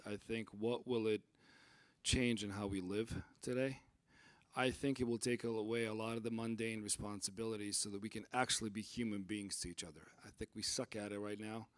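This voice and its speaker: A man's voice: medium pitch, a little mellow, dry recording. A man moderating a panel discussion who reflects earnestly and invites questions.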